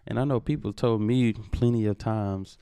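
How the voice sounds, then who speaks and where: A man's voice: fairly low pitch, fairly even intonation, normal speed, dark tone, smooth, no room sound. A man with a Texan accent, chatting casually as the host of a reaction video, rambling with plenty of fillers. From Texas, USA.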